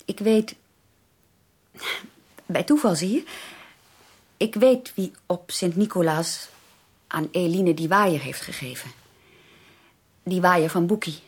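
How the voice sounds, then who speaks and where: An actress in a Dutch radio drama playing an earnest, anxious young sister who pleads haltingly and emphatically. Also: female voice, lowish voice, slightly rough. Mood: coaxing, nervous. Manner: halting, emphatic, repeats words for stress.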